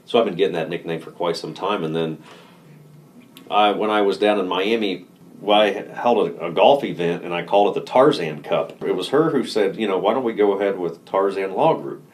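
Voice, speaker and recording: A man talking casually in an interview as a lawyer, relaxed, laughing easily and restarting his sentences. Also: low voice, fairly quick. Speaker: lawyer, firm co-founder.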